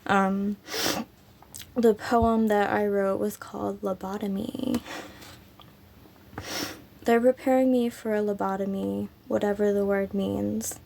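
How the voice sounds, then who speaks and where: A woman with a sick, raspy voice, hosting her poetry channel and reading her own poems in a slow, unhurried way with frequent ums. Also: medium pitch, fairly even intonation, a little mellow, a little hiss or hum.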